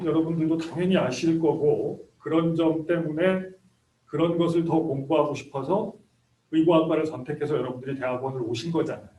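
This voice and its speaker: A man's voice: fairly high pitch, darkish tone. A man in his fifties from South Korea, a professor giving an introductory lecture in a conversational, explanatory way. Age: 50s. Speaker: medical school professor. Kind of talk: introductory lecture to graduate students. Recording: echoey room, distant microphone.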